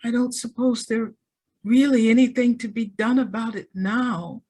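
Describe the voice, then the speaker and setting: A woman's voice: on the high side, a little slow, mellow, breathy edge. A woman playing a naive, dreamy rich young sweetheart in a radio drama, plaintive and languid. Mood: matter-of-fact.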